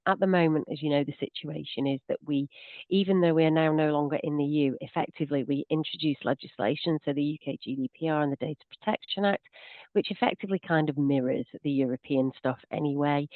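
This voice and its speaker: A woman's voice: deep voice, no room sound. A woman with a slight cold, explaining a legal topic in a chatty, self-deprecating way on a video call Q&A with many restarts. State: has a cold. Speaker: solicitor. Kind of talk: webinar Q&A explanation. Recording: dry tinny video call audio.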